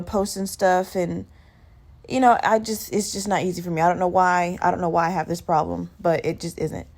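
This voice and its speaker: A woman hosts her solo podcast with a sleepy, just-out-of-bed growl and plenty of vocal fry. Her talk is loose and rambling, candid and self-deprecating, with casual swearing. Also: mid-range voice. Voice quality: slightly gravelly morning voice. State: just woke up. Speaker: podcast host.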